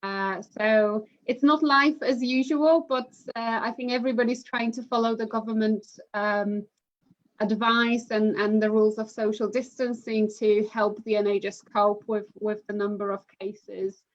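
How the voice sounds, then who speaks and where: A woman from Poland, a university recruitment manager, speaking in a measured, hesitant way with many fillers during a live admissions session from home over a video call. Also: a little high, fairly even intonation. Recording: muffled lo-fi online call audio.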